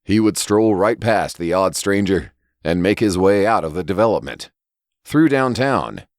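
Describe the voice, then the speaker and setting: Male audiobook narrator for fiction: low register, lively melodic delivery, deliberate storytelling. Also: no echo, clean studio sound. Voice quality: low-pitched voice. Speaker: story reader and podcast narrator.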